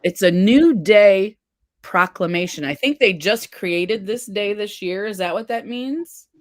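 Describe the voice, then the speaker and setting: A woman's voice: mid-range voice, animated, measured pace, no room sound. A woman hosting a casual livestream, chatting and reading viewer comments aloud. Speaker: livestream face paint host.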